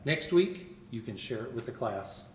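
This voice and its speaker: A man voicing a patient, kind woman schoolteacher who speaks calmly and reassuringly. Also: mid-range voice, normal speed, pitched below the performer's own voice, lightly acted. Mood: gentle. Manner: calm, gentle, reassuring. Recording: roomy echo.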